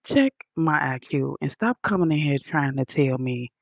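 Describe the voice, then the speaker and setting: A woman's voice: low voice, animated, moderate pace, creaky, dry recording, muffled recording. A woman with a Southern accent hosting a livestream, lecturing her chat in an indignant, emphatic way. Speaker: livestream host reading chat. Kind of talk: casual livestream rant.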